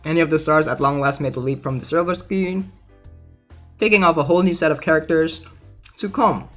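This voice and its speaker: A man's voice: on the high side, brisk. A man casually reading aloud and commenting in an informal, chatty way, like a gaming content creator. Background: background music.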